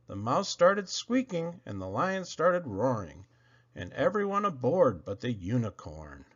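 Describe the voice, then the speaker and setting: A man reading children's poetry aloud in an animated, theatrical way with drawn-out phrasing. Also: medium pitch, muffled recording. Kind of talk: expressive read-aloud of children's verse.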